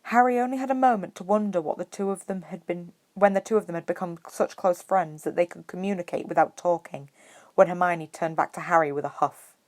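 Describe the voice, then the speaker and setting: A woman's voice: on the low side, a little fast. A woman narrating fan fiction as an audiobook reader. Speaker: podfic reader.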